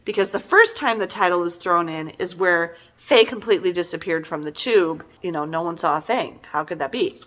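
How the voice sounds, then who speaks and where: A middle-aged woman giving a casual, conversational book review in an animated, exasperated and emphatic manner. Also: on the low side, normal speed, hardly any pauses.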